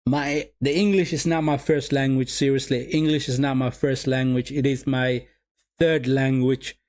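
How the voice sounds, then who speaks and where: Man, somali first language, football watch-along host, repeats himself, talks to viewers as people, middle pitch. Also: flat delivery, moderate pace, darkish tone. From Sweden. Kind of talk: live match watch-along commentary.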